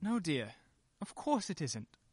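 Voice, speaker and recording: An actor playing an evasive husband in a radio play, theatrical and patronizing, smooth but quick to get irritable. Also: male voice, fairly high pitch, very animated, darkish tone, dry recording, full character voice. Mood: placating.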